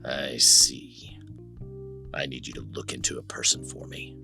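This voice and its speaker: A very low-voiced, worn-down private detective played by a man, brooding and irritable. He speaks in terse, sardonic mutters in the manner of a noir radio play, with music underneath. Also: little pitch movement, a little slow, creaky, bright, forceful tone, whispered, full character voice. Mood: gruff. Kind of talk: noir audio drama acting.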